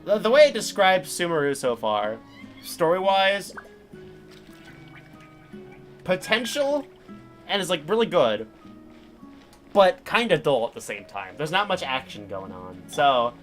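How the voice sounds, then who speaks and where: A man's voice: fairly high pitch, big pitch swings, a little slow. A man giving casual live commentary as a game streamer, rambling and self-deprecating. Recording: livestream with faint game music.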